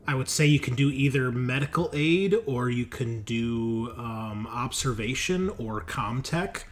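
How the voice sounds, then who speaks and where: A man narrating an improvised tabletop game as game master, in a halting, conversational way. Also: medium pitch. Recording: clearly noisy background.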